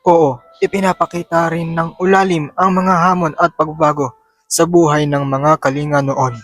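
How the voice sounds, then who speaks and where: A man's voice: a little high, steady tone, normal speed, fairly bright tone, faint music behind. A man with a Filipino accent playing a proud, solemn Kalinga storyteller in an amateur radio drama. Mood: solemn, instructive. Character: male Kalinga storyteller, proud keeper of ancestral tales.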